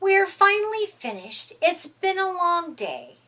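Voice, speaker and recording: A middle-aged woman reading a picture book aloud to young children in an expressive, deliberate, emphatic way. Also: very high-pitched. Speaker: storytime reader. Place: small furnished room indoors.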